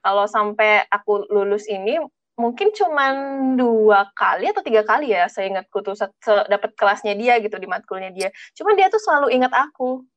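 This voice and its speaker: A woman's voice: medium pitch, dry recording. A young woman from Bali, a student guest on a podcast, chatting casually, eagerly agreeing and telling stories. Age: young adult. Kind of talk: casual chat.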